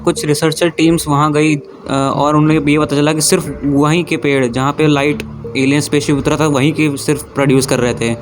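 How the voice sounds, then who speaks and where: A man telling stories in an informal narration, with halting phrasing as he corrects himself. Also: fairly high pitch, flat delivery, noisy background. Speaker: YouTube narrator. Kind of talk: informal storytelling narration of alien abduction cases. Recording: music behind the voice. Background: background music.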